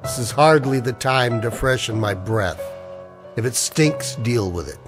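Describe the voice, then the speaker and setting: Male actor as defiant noir hero-narrator, 1940s radio style, urgent, hard-boiled, medium pitch, music bed. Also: expressive, a little slow, natural voice. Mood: defiant, threatening. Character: tense, defiant man narrating a noir sci-fi ordeal. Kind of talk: 1940s radio drama acting with first-person narration.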